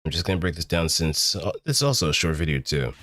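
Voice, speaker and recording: A man with a low voice talks through a casual livestream lesson. His pitch moves around a lot as he stresses and repeats key words, pausing deliberately between phrases. The sound is close and dry. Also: big pitch swings, fairly bright tone, no echo. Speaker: streamer. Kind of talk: casual livestream tutorial on voice acting, explaining step by step.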